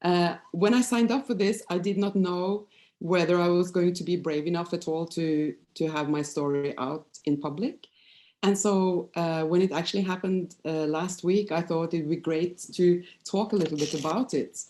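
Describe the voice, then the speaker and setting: A Norwegian-accented woman in her fifties speaks with a low, soft-edged, mellow voice. She presents like a psychologist sharing personal experience at an informal talk. Her delivery is candid and hesitant, halting as she finds her words. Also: fairly even intonation. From Norway. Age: 50s.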